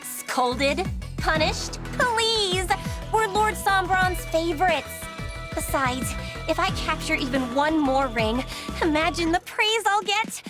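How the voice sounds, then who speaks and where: A woman's voice: extremely high pitch, lively intonation, takes it slowly, loud music behind the voice, exaggerated voice. A woman voicing a bratty, vain young girl villain in a video game, whiny and petulant. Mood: cocky. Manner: whiny, petulant, swings between boasting and wailing. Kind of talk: video game cutscene voice acting.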